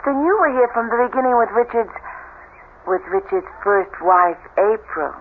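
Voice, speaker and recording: An actress playing a hopeful, nervous new bride, earnest and expressive, in a radio mystery. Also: female voice, fairly high pitch, normal speed, narrow, tinny audio, close to an everyday voice. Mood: anxious. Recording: thin, hissy old radio recording.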